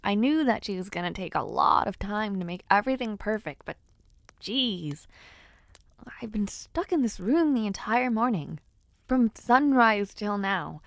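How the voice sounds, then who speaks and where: A young noblewoman in an audio roleplay, teasing and a little flustered, voiced by a woman. Her pitch swings widely and she speaks at an unhurried pace. The voice is recorded close up and dry. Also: lively intonation, dry recording. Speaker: voice actor.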